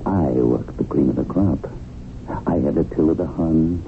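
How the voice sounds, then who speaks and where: Gravelly, rock-bottom-deep smug devil. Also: male voice, normal speed. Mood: smug menace. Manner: smug, mock-apologetic, taunting. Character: confident, boastful male agent of evil, a devilish tempter. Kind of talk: radio drama acting. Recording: tinny, band-limited radio recording with faint noise.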